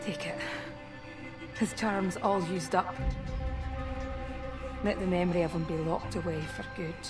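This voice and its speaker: A woman's voice: lowish voice, steady tone, a little slow, over music, close to an everyday voice. Intense, resolute rebel woman, played by a woman. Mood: solemn, bitter. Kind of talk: video game cutscene acting.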